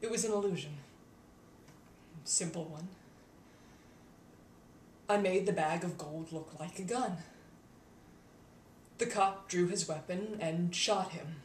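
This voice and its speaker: A woman playing a haunted, embittered young wizard turned killer in a dramatic monologue, intense and halting, swinging between hush and outcry. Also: lowish voice. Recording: echoey room, distant mic, faint noise.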